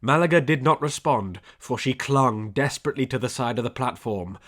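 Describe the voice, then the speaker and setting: A man's voice: mid-range voice, brisk, a bit bright. A man reading a scripted adventure story as an audiobook narrator, with dramatic delivery.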